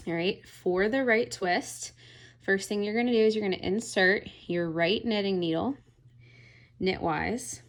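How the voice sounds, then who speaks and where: A woman giving a relaxed, step-by-step knitting tutorial, often checking in with "all right?". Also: on the low side. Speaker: knitting instructor.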